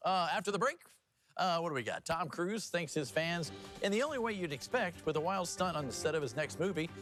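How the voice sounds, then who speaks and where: A man's voice: fairly high pitch, expressive, brisk, a bit bright, faint music behind. A man working as a news anchor, presenting headlines and slipping into casual, jokey banter. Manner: jokey, conversational.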